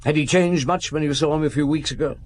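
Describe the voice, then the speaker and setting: An actor playing a calm, probing police chief inspector in a radio drama, questioning witnesses and giving orders in a measured, authoritative way. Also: male voice, a little high, a little hiss or hum, close to an everyday voice. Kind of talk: 1950s-set radio drama acting.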